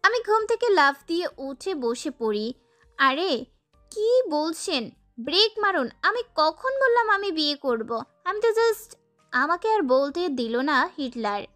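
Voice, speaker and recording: A young woman performs a sassy, girlish heroine, telling a romance audio story. Her voice is very high and bright, with lively swings in pitch and an expressive, feisty delivery. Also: takes it slowly, soft background music, full character voice. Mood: whiny, indignant. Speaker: narrator and lead actress. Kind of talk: dramatised first-person romance story narration.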